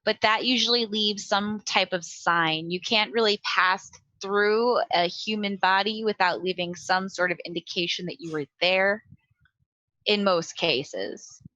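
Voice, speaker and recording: A woman playing a tabletop role-playing game, casually reasoning aloud in measured phrases with thoughtful pauses. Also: mid-range voice, fairly even intonation, pushed and bright, no echo, muffled recording.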